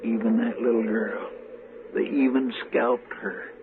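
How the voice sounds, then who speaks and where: An American man playing a soft-hearted marshal's sidekick in a somber old radio western. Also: fairly low pitch, steady tone, a little slow. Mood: horrified. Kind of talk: 1950s western radio drama acting. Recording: noisy, narrow vintage radio recording.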